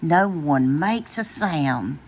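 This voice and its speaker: Slow, animated woman reading bedtime stories. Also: low-pitched, slight background noise. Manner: expressive, deliberate. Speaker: storytime reader.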